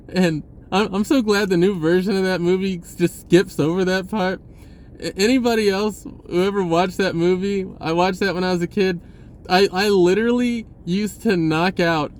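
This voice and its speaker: A man giving a casual, rambling spiritual talk, restarting his sentences and chuckling now and then. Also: high-pitched. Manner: rambling, laid-back, with frequent restarts and chuckles. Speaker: spiritual vlogger.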